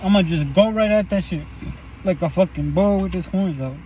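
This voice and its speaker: A young man with a somewhat high voice rambles on in a loose, profane way between bites. He talks to camera for a casual eating vlog from a parked car, with faint street and car sounds behind him. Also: normal speed, warm tone, a little hiss or hum. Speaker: vlogger.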